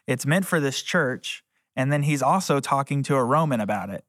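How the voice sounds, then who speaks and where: A man's voice: medium pitch, lively intonation, moderate pace, a bit bright, no room sound, studio quality. An American man co-hosting a casual, conversational discussion about the Bible. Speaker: podcast co-host.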